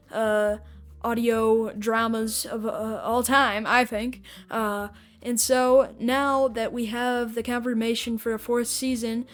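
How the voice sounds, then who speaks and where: High-pitched woman hosting a casual podcast. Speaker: podcast host. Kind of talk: casual review commentary.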